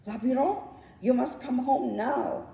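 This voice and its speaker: Woman voicing an earnest storybook bird, raised pitch, echoey. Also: unhurried, vocal fry, warm tone. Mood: urgent, worried. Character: helpful female bird, earnest messenger to young goats. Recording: roomy echo, distant microphone.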